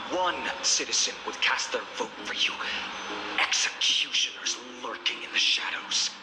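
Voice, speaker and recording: An actor playing a stern, defiant young swordsman lord in a video game, speaking gravely and with menace. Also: medium pitch, lively intonation, normal speed, lightly acted. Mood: defiant scorn. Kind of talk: dramatic game voice acting. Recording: game audio under loud background noise.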